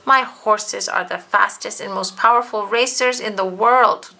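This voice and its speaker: A woman's voice: medium pitch, steady tone, measured pace, creaky, pushed and bright, heavily acted. A woman voicing a boastful male sun god in a storybook, haughty and scoffing. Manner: haughty, chortling.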